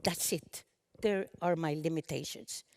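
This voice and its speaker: Brief: woman in her fifties, lowish voice, halting earnest panel talk. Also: a little mellow. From Sápmi, northern Sweden. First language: Sami. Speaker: panel speaker. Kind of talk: conference speech.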